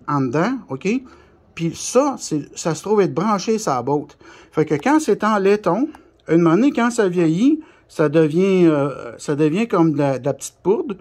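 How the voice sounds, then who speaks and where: High-pitched man with a Québécois accent. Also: darkish tone. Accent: Québécois French. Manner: blunt, colloquial, mildly crude. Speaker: CB radio shop specialist presenting a product tutorial. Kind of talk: casual unscripted hands-on demonstration.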